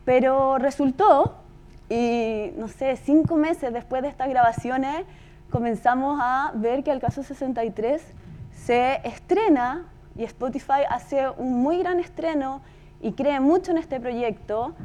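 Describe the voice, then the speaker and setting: High-voiced Chilean woman giving a talk. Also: measured pace, a little mellow. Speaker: podcast producer giving a talk. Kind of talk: conversational presentation telling a production story.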